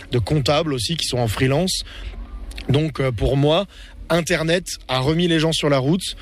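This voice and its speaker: A man guest on a radio interview, explaining in a measured, reflective way. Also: medium pitch.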